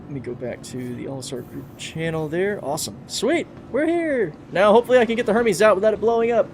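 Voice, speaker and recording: A man's voice: high voice, lively intonation, a little fast, slight background noise. A man in his thirties chatting casually on a game livestream, reading out and answering chat comments as he plays. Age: 30s. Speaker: streamer.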